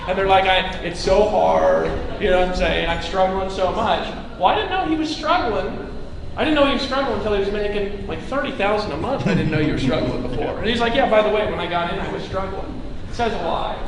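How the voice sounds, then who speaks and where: A man giving a rambling, emphatic motivational talk on stage while losing his voice. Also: high voice. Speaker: motivational speaker. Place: large hall on stage. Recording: echoey hall from far off, noisy.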